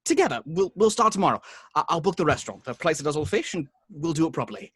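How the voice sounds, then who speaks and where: Slacker boyfriend: husky, dry-sounding, defensive and placating, hesitant man reading at a table read. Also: fairly high pitch, sing-song, very fast, a bit bright, dry recording, heavily acted. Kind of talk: informal table read of a film script.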